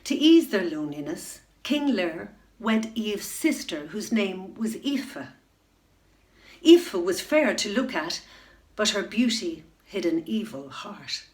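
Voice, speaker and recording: A middle-aged woman reading a children's bedtime story, unhurried and expressive, dropping to a hush at tense moments. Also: medium pitch. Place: room at home, indoors. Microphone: webcam facing the reader.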